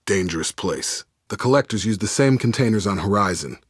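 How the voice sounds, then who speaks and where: A man's voice: on the low side, lively intonation, a little fast, breathy edge, no echo. Male game squadmate: loyal, guarded soldier, clipped and matter-of-fact, studio-dry sound. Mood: steady, serious. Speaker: video game squad member. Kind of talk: scripted video game dialogue.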